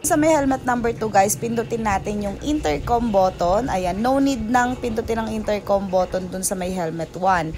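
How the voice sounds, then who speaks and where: A Filipino woman giving a casual hands-on product tutorial in Taglish, talking informally and directly to her viewers. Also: medium pitch.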